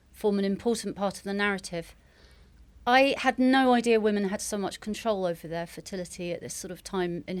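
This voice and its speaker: A woman interviewing a guest with considered, conversational questions. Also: medium pitch.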